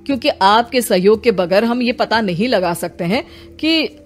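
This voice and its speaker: A woman's voice: a little high, expressive, measured pace. A woman takes the part of a senior police officer in a cartoon tale. He sounds methodical and official, putting questions with authority over background music. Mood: official, firm. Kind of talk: Hindi animated moral story dialogue.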